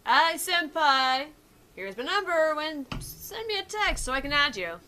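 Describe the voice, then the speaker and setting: A woman's voice: high-pitched, very animated, moderate pace, sharp tone, slight background noise, pitched above the performer's own voice, exaggerated voice. A woman voicing an eager girlish tank character in a visual novel game, shy at times and teasing at others. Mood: eager, calling out.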